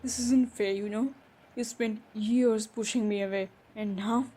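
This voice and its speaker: A woman playing a hurt, defensive young woman in an emotional romantic roleplay, speaking haltingly with pauses. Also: fairly high pitch, fairly even intonation, mellow. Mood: angry, wounded. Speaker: audio roleplay performer. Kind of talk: improvised romantic roleplay drama. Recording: noisy background ambience.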